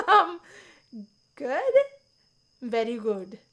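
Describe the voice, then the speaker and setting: A woman's voice: on the high side, sing-song, drawn-out words, bright, forceful tone, exaggerated voice. A woman voicing a shy, anxious young college woman in a visual novel, easily flustered. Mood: bashful. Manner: flustered, stammering when upset.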